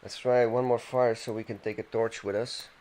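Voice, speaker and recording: A man's voice: medium pitch, level tone, normal speed, darkish tone. A man casually commenting on his game as he plays, thinking aloud and reading text from the screen. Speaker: let's play gamer.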